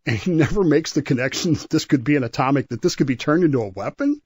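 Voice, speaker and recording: A man's voice: fairly high pitch, animated, fast talker, a little mellow, breathy edge, no echo. Man telling history, dry close sound. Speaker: history segment guest. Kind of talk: conversational historical storytelling.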